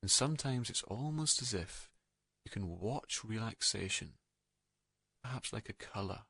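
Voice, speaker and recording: A man narrating a guided meditation in a calm, gentle, soothing manner, leaving unhurried pauses between soft phrases. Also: fairly low pitch, creaky, breathy edge, no echo.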